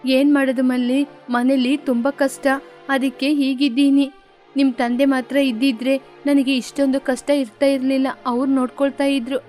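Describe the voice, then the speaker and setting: A woman acts out a careworn, gentle mother sparrow in a cartoon fable. Her voice is high, plaintive and tender, over faint music. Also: flat delivery, moderate pace, close to an everyday voice. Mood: sad, weary. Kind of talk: cartoon moral story dubbing.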